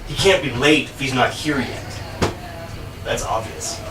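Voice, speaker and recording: A man acting a stubborn, literal-minded, fretful character in quick comic banter in a live stage play. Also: medium pitch, close to an everyday voice. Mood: indignant, literal. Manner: contrary, insistent, literal-minded. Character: stubborn, contrary man fretting over a missing friend. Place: small bar performance room. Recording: echoey live venue, distant mic, faint noise.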